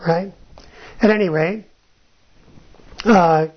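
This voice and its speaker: Slow husky bible teacher thinking out loud, keeps asking "right?", dull lo-fi sound. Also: mid-range voice. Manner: ends points with a questioning "right?"; restarts phrases, thinks aloud. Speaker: Bible teacher answering listener questions. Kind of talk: informal teaching talk.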